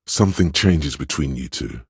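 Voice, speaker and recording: An actor voicing a coaxing inner voice of intuition in a video game, deep and gravelly, speaking in measured, dramatic, intimate narration. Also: male voice, lively intonation, no room sound, studio quality, heavily acted. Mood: observant, measured. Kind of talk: scripted game narration as an inner voice giving social advice.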